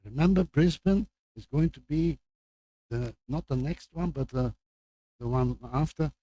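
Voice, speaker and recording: An older man from Belgium, a native Flemish speaker with a Flemish accent, gives an informal lecture introduction as a visiting professor in a halting way, with repeated words and asides. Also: a little high, warm tone, rough recording. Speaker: guest lecturer, medical professor.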